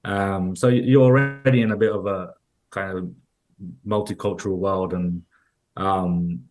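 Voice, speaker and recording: Deep-voiced London man, rambling. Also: fairly even intonation, unhurried, darkish tone. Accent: London English. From London, England. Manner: rambling, many restarts and "like"s. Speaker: guest. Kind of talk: casual interview conversation.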